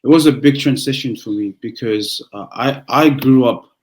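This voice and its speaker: Young guy from tonga, pastor, english is his second language, lots of stops and restarts. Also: mid-range voice. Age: young adult. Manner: halting, many restarts and fillers. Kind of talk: conversational interview.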